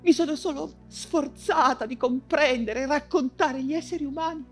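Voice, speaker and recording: An actress playing an anguished, ailing woman writer imprisoned in a camp, in a halting, fevered theatrical monologue. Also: high-pitched, faint music behind. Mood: pained, defensive. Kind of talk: dramatic stage monologue in Italian theatre.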